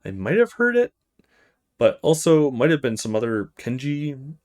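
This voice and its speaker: A man's voice: medium pitch, big pitch swings, moderate pace, a little mellow, natural voice. A man voicing a feisty, guarded young female university student, blunt and defiant, in a visual novel read-aloud. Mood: dismissive.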